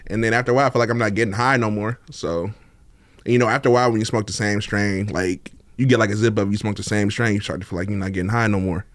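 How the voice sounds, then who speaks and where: A man's voice: lowish voice, fairly even intonation, fast talker, a bit bright, no echo. A laid-back man, a podcast guest chatting casually and laughing often, with many fillers. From Oakland, California. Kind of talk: casual interview chat.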